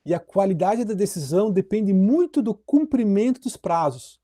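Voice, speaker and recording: A middle-aged man lecturing on legal theory. His voice sits high for a man but has a soft, mellow warmth. He talks in a relaxed, chatty way and keeps turning to his students with little tag questions. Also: moderate pace. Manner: conversational, addresses students directly with frequent tag questions. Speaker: law professor.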